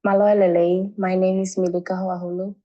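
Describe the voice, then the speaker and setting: Give me a young Tongan woman introducing herself with a low, even voice. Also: highs cut off. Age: teenager. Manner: hesitant, halting delivery. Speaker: student storyteller.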